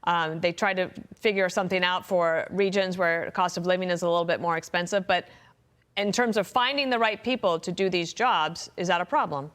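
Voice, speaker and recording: Lower-pitched American woman news anchor. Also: a little fast, a bit bright. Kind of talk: live news presenting and interviewing.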